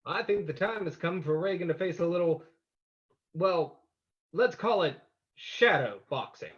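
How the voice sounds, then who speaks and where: Composed man playing a detective, shrewd, commanding, a little high-pitched, old-time radio drama, muffled recording. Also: expressive, measured pace. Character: composed, shrewd amateur sleuth hunting a spy. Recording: dull lo-fi recording.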